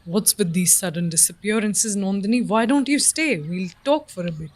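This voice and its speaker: A woman's voice: mid-range voice, normal speed, a little mellow, no echo, close to an everyday voice. A woman playing an earnest, philosophical professor in an audio play, declaiming in a poetic and ominous way. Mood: coaxing.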